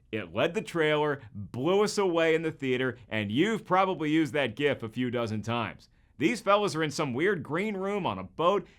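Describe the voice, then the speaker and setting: A man hosting a scripted video commentary in a jokey, colloquial and emphatic way. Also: a little high. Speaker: host.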